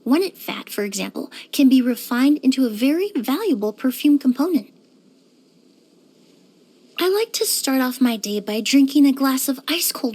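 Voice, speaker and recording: A young woman game character, a composed perfumer. Her voice sits high, and she speaks with measured courtesy. The delivery is scripted, softly formal and even-tempered. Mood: calm, thoughtful.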